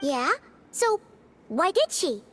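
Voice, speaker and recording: A woman voicing a small, childlike fairy companion in a video game, squeaky, curious, excitable and exclamatory. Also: slow, a little hiss or hum, exaggerated voice. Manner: speaks of self in third person, exclamatory.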